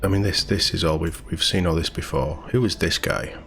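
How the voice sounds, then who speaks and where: Low-voiced man chatting over background music. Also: brisk, vocal fry, fairly bright tone. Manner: chatty, informal, enthusiastic. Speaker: host of a gaming news channel. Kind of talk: casual news read-through with commentary.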